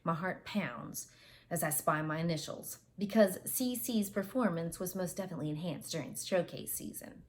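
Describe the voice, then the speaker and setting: Lady reading a teen mystery novel out loud, earbud mic, home office, lowish voice, stops to redo lines. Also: moderate pace. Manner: reads aloud with character voices and retakes. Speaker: audiobook reader. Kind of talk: first-person novel reading. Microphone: wireless earbuds.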